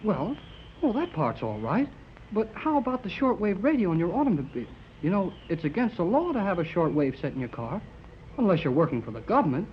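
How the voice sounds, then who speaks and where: A young-sounding actor playing an eager, earnest, boyish amateur radio operator in a 1930s radio serial. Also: male voice, high-pitched, brisk. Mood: inquisitive, excitable. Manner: excitable, earnest, gee-whiz. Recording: dull, noisy 1930s radio recording.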